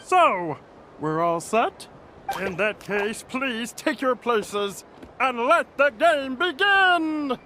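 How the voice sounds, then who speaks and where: Earnest child with unhurried, lively intonation. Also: female voice, a little high, background noise. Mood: explaining. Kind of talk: video game cutscene acting.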